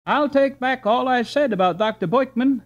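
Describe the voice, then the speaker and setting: A man's voice: extremely high pitch, normal speed, highs cut off, pitched above the performer's own voice. A man voicing a proud, frank, well-to-do teenage boy in a storybook, speaking with emphasis. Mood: friendly.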